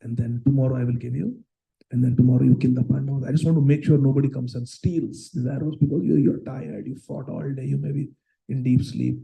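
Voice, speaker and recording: A man's voice: mid-range voice, steady tone, a little fast, vocal fry, warm tone, lightly acted, poor audio. A man voicing a frustrated, scheming prince who pleads, insults and wheedles. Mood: wheedling. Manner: pleading, then pushy and wheedling.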